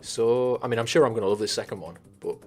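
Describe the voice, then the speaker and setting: A husky, slightly breathy man with a Teesside accent hosts a live stream, rambling through viewer comments with loose, sweary asides. His pitch sits mid-range, and faint music plays underneath. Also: quick pace. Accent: Teesside English. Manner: rambling and sweary, with frequent restarts.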